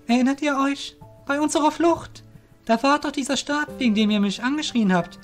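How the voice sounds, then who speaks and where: A man's voice: very high voice, a little fast, soft background music, voice pushed up. A man voicing an eager, insistent male adventurer in an amateur audio drama. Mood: eager, urgent.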